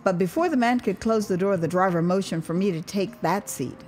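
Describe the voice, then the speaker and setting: A woman's voice: mid-range voice, fairly quick, darkish tone, soft background music. An American woman narrating her own memoir as an audio drama, in a wry, conversational storytelling manner. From United States. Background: street ambience and vehicle sound effects.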